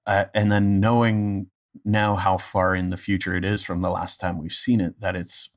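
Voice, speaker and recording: A man speaking as a podcast guest in casual conversation, thinking aloud haltingly and circling back over his phrases. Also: low-pitched, little pitch movement. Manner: halting, thoughtful, repeats and restarts phrases. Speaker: podcast guest, amateur voice actor. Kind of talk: casual conversation about a performance.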